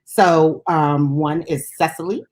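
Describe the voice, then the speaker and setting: Low-voiced woman chatting as a radio DJ. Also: slow, darkish tone. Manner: giggly, informal, chatty. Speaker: radio DJ and co-host. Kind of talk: casual music chat show.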